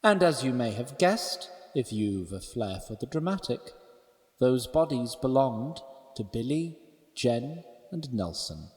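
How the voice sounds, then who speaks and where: Male narrator, wry playful asides, lively slow delivery, long reverb. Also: mid-range voice. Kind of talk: scripted storytelling narration.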